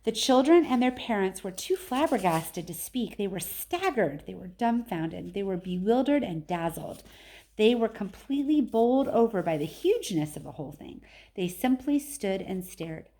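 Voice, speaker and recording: A woman narrates a children's story aloud, expressively, with deliberate and emphatic phrasing. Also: medium pitch, very animated, warm tone. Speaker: read-aloud storyteller, teacher.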